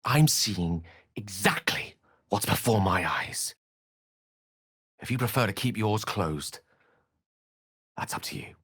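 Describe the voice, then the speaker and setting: An erudite video game wizard with a lowish, very animated voice. He is courteous and wry, phrasing everything with theatrical eloquence. The recording is close and dry. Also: slow, long pauses, husky, no room sound, full character voice. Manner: eloquent, articulate, theatrical, wordy. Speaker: video game character voice actor.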